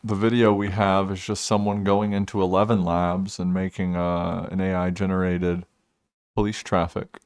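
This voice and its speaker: A man with a deep voice, talking casually as a co-host. His way of speaking is measured and conciliatory. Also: flat delivery, a little mellow, clear, resonant tone. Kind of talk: casual reaction chat.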